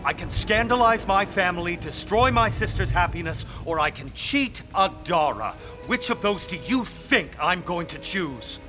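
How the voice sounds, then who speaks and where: A proud, bitter lord from a period audio drama. His voice is notably high for a man, with formal aristocratic phrasing and intense delivery. Music plays behind him. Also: lively intonation, moderate pace, hardly any pauses. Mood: defiant.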